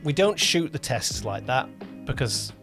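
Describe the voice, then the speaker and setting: A man with an average-pitched voice chats as a podcast host. He is emphatic and often repeats words to stress a point. Faint music plays behind him. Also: very animated, measured pace, fairly bright tone. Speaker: podcast host, audio drama producer and director. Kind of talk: casual podcast chat.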